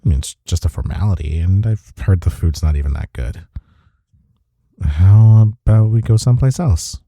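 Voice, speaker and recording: A man playing a teasing, tender office rival in an intimate romantic audio roleplay, speaking haltingly with breathy sighs and murmurs. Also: low-pitched, lively intonation, dark tone, dry recording, clean studio sound. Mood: playful, warm. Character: young male coworker rival confessing love, teasing and tender.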